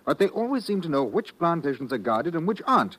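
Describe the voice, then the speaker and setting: A brisk, officious colonial officer rattles off his report in clipped, quick phrases. in a vintage radio drama, his pitch leaping up and down as he talks. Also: very animated, fairly bright tone. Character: brisk British colonial agent, middle-aged man. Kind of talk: 1940s radio acting.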